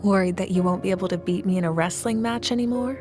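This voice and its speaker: A woman voicing a determined, quietly confident young rival in an anime-style video game, restrained and dry. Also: mid-range voice, fast talker, music in the background, close to an everyday voice. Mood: quiet defiance.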